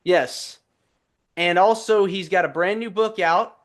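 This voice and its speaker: Upbeat podcast host, higher voice. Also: male voice, slow pace. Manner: upbeat, enthusiastic introductions. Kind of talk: interview podcast hosting.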